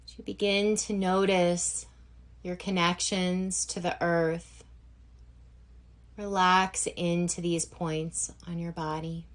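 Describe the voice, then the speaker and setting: A woman guiding a yoga nidra relaxation session as instructor, gentle and unhurried, leaving long pauses between phrases. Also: on the low side, little pitch movement. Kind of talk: guided meditation instruction.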